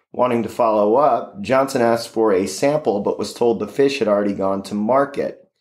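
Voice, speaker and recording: A man's voice: medium pitch, a little mellow. A man reading out a scripted news story as a listener contributor to a podcast.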